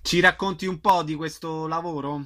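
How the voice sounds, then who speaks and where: A man's voice: a little high, fairly even intonation, moderate pace, background noise. A man hosting a casual interview, putting questions to a guest in an informal way. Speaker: radio interviewer.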